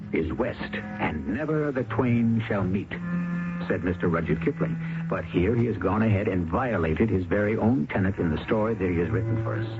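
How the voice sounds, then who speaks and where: A deep-voiced man hosts an old radio mystery drama, reading his lines with theatrical flair. His pitch moves a great deal, and the sound is thin, like an old broadcast with loud music underneath. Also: phone-quality sound. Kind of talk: 1970s radio drama introduction.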